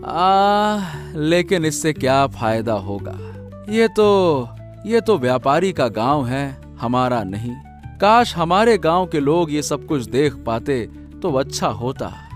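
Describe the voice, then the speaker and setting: A man acts out an honest, humble village husband for a cartoon tale. His highish voice moves in wide swings and takes its time, with earnest, hesitant turns. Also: big pitch swings, takes it slowly, faint music behind. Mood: earnest, regretful. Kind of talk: Hindi cartoon story voice acting.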